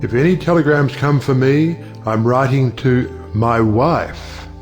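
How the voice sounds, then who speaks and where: An actor playing a wry, worldly judge in an audio play, with a dry, sardonic way of talking. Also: male voice, mid-range voice, a little slow, faint music behind, lightly acted. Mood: dry, teasing. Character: wry, cynical male judge, worldly and teasing. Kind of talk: audio play acting of a 1910s stage comedy.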